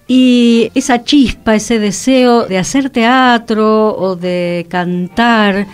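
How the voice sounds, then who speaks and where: A woman's voice: on the high side, drawn-out words, smooth. A woman with a Rioplatense Argentine accent, talking reflectively as a radio host about cultural history.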